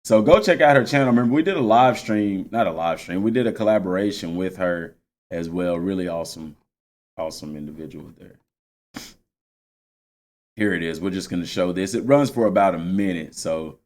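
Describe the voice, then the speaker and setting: A man giving casual, unscripted gaming commentary, addressing viewers directly and repeating points to make them clear. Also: sits low, normal speed, darkish tone. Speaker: video game channel host.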